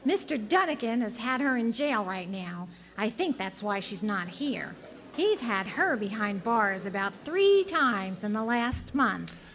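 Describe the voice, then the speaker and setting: A woman's voice: a little high, sing-song, a bit bright, exaggerated voice. A woman playing a judgmental, gossiping frontier townswoman giving testimony in a radio drama, speaking with disdain. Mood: disdainful. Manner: judgmental, deliberate.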